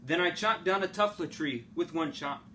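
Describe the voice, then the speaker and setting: A man reads a picture book aloud with theatrical, swooping delivery. His voice is fairly high and bright, with faint noise in the background. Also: lively intonation, moderate pace. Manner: dramatic, theatrical phrasing. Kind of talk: expressive children's story reading.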